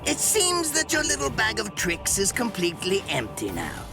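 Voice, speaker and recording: A man's voice: high voice, huge pitch swings, normal speed, creaky, music in the background, heavily acted. An actor voicing a smug, sadistic mad scientist in an anime game, condescending and theatrical in his drawl. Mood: smug fascination. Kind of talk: anime video game dub acting.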